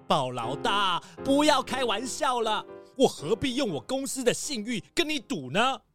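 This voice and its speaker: 女声扮演 语调夸张、容易吃惊的动物角色. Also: female voice, on the high side, sing-song, a little slow, a bit bright, soft background music. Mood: protesting. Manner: exclamatory, theatrical. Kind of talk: children's radio play.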